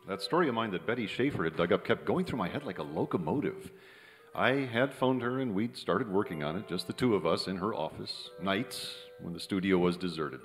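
A man's voice: low-pitched, expressive, brisk. A middle-aged man playing a wry, world-weary screenwriter, acting and narrating in a stage radio drama with hard-boiled delivery. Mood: wry, reflective. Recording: echoey theater hall, music behind.

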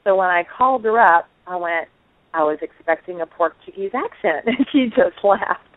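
A woman's voice: fairly low pitch, lively intonation, moderate pace, no echo. Woman talking over a tinny phone line. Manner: enthusiastic, gushing, with fillers and restarts. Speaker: radio show co-host. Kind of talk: casual spiritual talk radio chat. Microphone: phone call into an online radio show.

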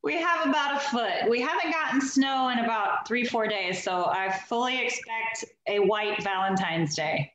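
A woman's voice: a little high, moderate pace, hardly any pauses, fairly bright tone, heavy reverb, slight background noise, poor audio. A woman in her forties, originally from Alabama, chatting upbeat as a guest over a video call from her home loft. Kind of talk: casual video call interview.

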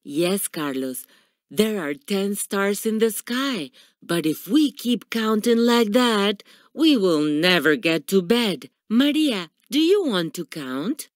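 A woman plays a loving old grandfather from a children's storybook. He talks slowly and patiently, with gentle warmth and expressive swings of pitch. The sound is dry. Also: no room sound. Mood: loving, amused. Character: loving, calm old grandfather telling children about stars.

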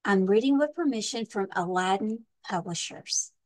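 A woman librarian reading a children's storybook aloud with expressive, deliberate, emphatic narration. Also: medium pitch.

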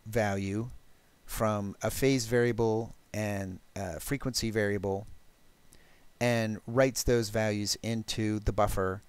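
A man presenting a technical programming demo, explaining step by step in a halting, thinking-aloud way. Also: fairly low pitch, steady tone, clear, resonant tone, dry recording. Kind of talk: technical talk with live code demo.

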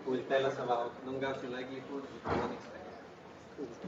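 Workshop chair, matter-of-fact intro, lo-fi room mic with loud noise. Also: male voice, mid-range voice, monotone, normal speed, roomy sound, distant mic. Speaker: session chair. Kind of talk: announcing speakers. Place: lecture room. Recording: muffled noisy lecture recording.

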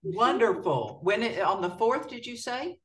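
A board chair running a city meeting in a folksy, relaxed way, with many filler words. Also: mid-range voice, a little slow. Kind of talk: formal meeting procedure mixed with casual remarks. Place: city council chamber. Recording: distant mic in a meeting room.

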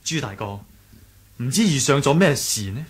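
A man's voice: medium pitch, big pitch swings, normal speed, breathy edge. A man with a Hong Kong Cantonese accent playing an earnest, courteous young martial-arts leader in a radio drama. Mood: concerned, curious. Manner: courteous, eagerly questioning. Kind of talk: 1980s radio drama acting.

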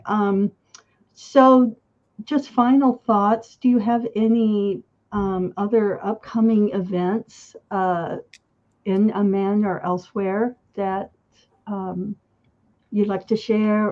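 A woman hosting an interview over a video call, speaking gently with hesitant fillers. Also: medium pitch, dull audio. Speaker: interview host. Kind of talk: casual interview.

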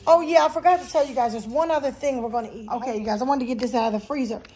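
A woman's voice: high-pitched, racing, a little mellow. A woman vlogging a grocery haul in her home kitchen, chatty and casual, filming on a handheld phone. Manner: chatty, lots of "you guys" and "um". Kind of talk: casual chat to camera.

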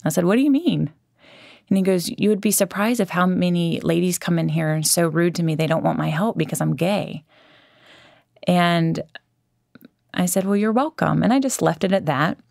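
A woman's voice: lowish voice, moderate pace, gravelly, a little mellow, dry recording, studio quality. A woman hosting a faith podcast, talking through her views in an earnest, conversational way with fillers and restarts. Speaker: podcast host.

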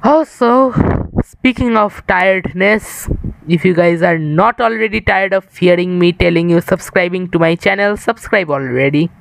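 Young guy from india streaming a game, kinda high voice, rambly and tired. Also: moderate pace, gravelly, slight background noise, rough recording. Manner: rambling, chatty, addresses viewers. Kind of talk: casual live-stream chat while gaming. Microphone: improvised setup.

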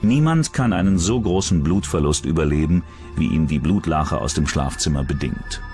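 A man's voice: rumbling low, expressive, normal speed, darkish tone, soft background music. A man reading scripted true-crime documentary narration as the narrator.

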